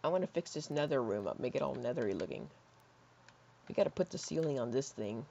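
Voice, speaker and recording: A hoarse young man in his teens rambling casually while playing a building game, with lots of fillers and soft mutters. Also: medium pitch, sing-song, fast, a little hiss or hum. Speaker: gaming YouTuber. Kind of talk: casual gameplay commentary. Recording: slightly dull lo-fi mic.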